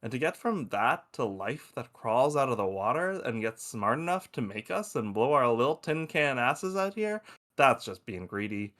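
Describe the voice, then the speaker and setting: A man's voice: medium pitch, very animated, moderate pace, a bit bright. A man playing an argumentative, folksy space probe in a science fiction table read. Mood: argumentative, musing. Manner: folksy, irreverent, emphatic.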